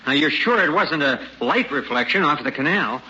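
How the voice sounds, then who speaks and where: Gruff homesteader dad, higher-pitched and forceful, suspicious, folksy, old radio drama sound. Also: male voice, lively intonation, moderate pace. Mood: wary. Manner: folksy, rough rural speech, wary. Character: gruff, suspicious prospector father, rough frontier homesteader. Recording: muffled, noisy old radio recording.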